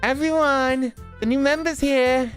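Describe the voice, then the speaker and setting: A man voicing a cheerful, pleading, energetic teenage schoolgirl from an anime visual novel. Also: extremely high pitch, measured pace, faint music behind, voice pushed up. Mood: bubbly, pleading. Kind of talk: visual novel character read-aloud.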